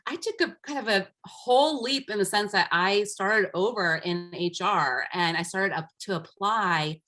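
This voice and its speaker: A woman's voice: medium pitch, unhurried, sharp tone, faint music behind. Earnest woman telling her career story. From United States, raised by immigrant parents. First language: Armenian. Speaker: guest, coach. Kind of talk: casual interview talk.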